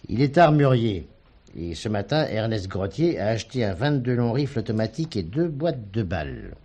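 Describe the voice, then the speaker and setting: A man's voice: fairly low pitch, big pitch swings, normal speed, warm tone. An actor playing a dutiful male gendarme sergeant in a French radio drama, formal, respectful and a little hesitant.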